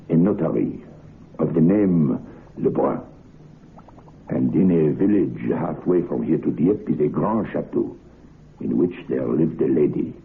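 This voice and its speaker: An actor playing an earnest, nervous French head waiter who speaks broken English with a French accent in an old-time radio drama. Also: male voice, extremely low pitch, gravelly, a little hiss or hum, exaggerated voice. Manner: broken English, urgent pleading. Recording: tinny phone-like old radio recording.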